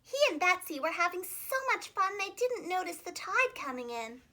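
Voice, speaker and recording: A woman reading a children's storybook aloud in an expressive, carefully enunciated preschool-teacher style. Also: very high voice, normal speed.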